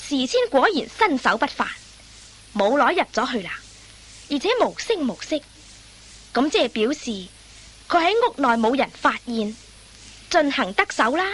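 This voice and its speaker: High-pitched woman narrator, very animated. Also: moderate pace, long pauses, slightly rough. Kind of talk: scripted storytelling narration for radio comedy drama.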